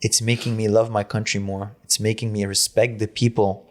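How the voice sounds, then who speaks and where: A young man from Lebanon, a native Arabic speaker, sits in as a podcast guest. His voice sits slightly low, and he talks in a loose, chatty flow, tossing in "man" and a swear here and there. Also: little pitch movement, moderate pace. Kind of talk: casual podcast conversation.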